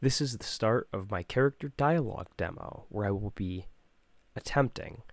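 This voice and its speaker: A man with a fairly low voice reads scripted material for an amateur demo reel. He comes across as self-deprecating. The sound is dry, with very little room in it. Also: moderate pace, no room sound. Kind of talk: scripted narration and reading aloud.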